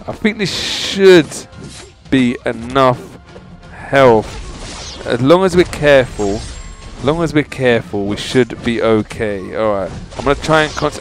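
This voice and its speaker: A man with a fairly high-pitched voice gives casual gameplay commentary over music. He talks chattily to his viewers as dudes and often sounds exasperated with the game. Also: lively intonation, measured pace. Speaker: gaming channel host. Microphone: voice-over over mobile game footage.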